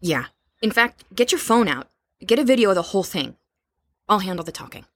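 An actress plays a young woman in an audio drama who is worried and resolute. Her medium-pitched voice is recorded dry. She speaks directly and insistently. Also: very animated, fairly quick, a bit bright, dry recording. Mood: determined. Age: young adult.

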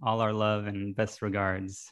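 A man's voice: fairly low pitch, level tone, a little slow, a bit bright, a little hiss or hum. A man co-hosting a podcast in a casual chat, who speaks in a friendly but hesitant way, with many ums and restarts. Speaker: podcast co-host.

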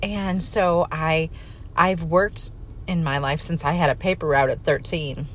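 Middle aged lady w a low voice just talking candidly about herself, kinda self-deprecating, some noise in the background. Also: fairly even intonation, unhurried. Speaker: speaker giving a personal talk on faith and family life. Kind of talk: candid personal talk, conversational monologue.

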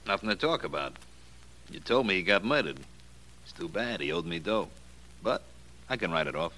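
A man's voice: low voice, sing-song, moderate pace, sharp tone, full character voice. An actor playing a cocky, amused gangster loan shark with slangy tough-guy talk and cool menace in an old radio drama. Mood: shrugging, defensive. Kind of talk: 1940s radio detective drama acting. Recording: noisy old radio recording.

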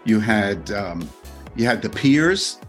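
A man's voice: fairly low pitch, very animated, slow pace, fairly bright tone, faint music behind. A man talking casually as a podcast guest, recalling past times. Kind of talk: casual reminiscing talk.

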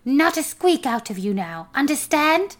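A woman's voice: high voice, expressive, normal speed, fairly bright tone, pitched above the performer's own voice. A woman voicing a tiny, spidery male homunculus, a haughty and bossy schemer who hisses and scolds, in a children's storybook reading. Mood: hushing. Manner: hissing, scolding, imperious.

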